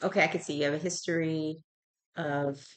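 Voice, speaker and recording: A woman's voice: low-pitched, fairly even intonation, a little fast. A woman conducting a clinical intake interview as a health professional, conversationally checking and repeating answers. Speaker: health professional taking a patient history.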